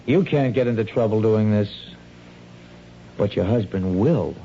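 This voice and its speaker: An actor playing a gruff, bullying henchman in a radio mystery, blunt, slangy and impatient. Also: lowish voice, measured pace, dark tone. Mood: gruff, threatening. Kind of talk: radio mystery drama acting. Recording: dull, hissy old radio recording.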